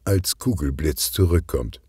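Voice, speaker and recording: Male audiobook narrator for kids' crime stories: very husky, slow-paced. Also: rumbling low, animated, darkish tone, dry recording, clean studio sound. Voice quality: husky, raspy. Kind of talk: scripted children's detective story narration.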